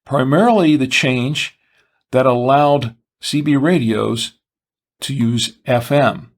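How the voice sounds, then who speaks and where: A man presenting explanatory product commentary, speaking in measured, deliberate phrases with pauses. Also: mid-range voice. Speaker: presenter of a two-way radio show.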